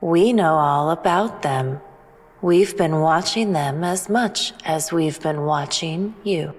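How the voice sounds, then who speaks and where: A woman playing a calm, all-knowing alien who speaks in a measured, formal, cryptic way in a sci-fi audio drama. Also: low voice. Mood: calm, assured. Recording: long reverb, music underneath.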